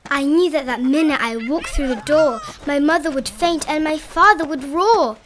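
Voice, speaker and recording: Woman, very high voice, unhurried storybook read-aloud, expressive, light background noise. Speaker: read-aloud narrator of children's picture books.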